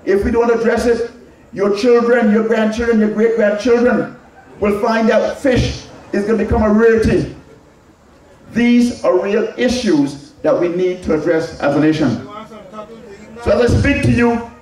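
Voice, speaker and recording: A man with an Anguillian accent addresses a crowd as a political candidate, his voice pitched high for a man. He speaks emphatically, repeating key phrases for weight and answering his own rhetorical questions. The sound is very echoey and picked up from far off, as in a large public venue. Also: unhurried, a little mellow. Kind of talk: public campaign speech.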